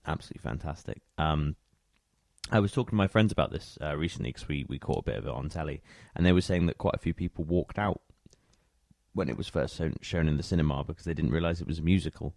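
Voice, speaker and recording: A man's voice: rumbling low, quick pace, vocal fry, a little mellow, no room sound, clean studio sound. A man chatting casually as he hosts a music radio show. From England. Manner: chatty, conversational.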